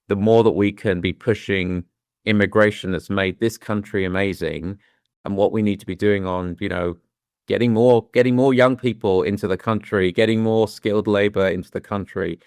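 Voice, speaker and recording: A deep-voiced, middle-aged British man talks things through with candour, pausing and restarting to find his words. His voice is smooth and resonant, and the recording is close and dry. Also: dry recording. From London, England. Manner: thoughtful, with frequent restarts and "you know"s. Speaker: guest. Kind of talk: candid reflective conversation.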